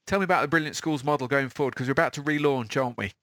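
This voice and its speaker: A man's voice: mid-range voice, very fast, a bit bright, dry recording, clean studio sound. A man hosting a podcast interview, matey and upbeat, often restarting his phrases. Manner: matey and upbeat, with frequent repeated starts. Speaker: podcast host.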